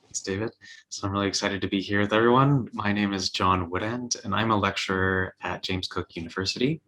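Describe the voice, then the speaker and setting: Bright, lower-voiced Canadian man. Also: fairly even intonation, fairly quick, slight background noise, rough recording. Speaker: university lecturer, panelist. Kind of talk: panel self-introduction, informal professional.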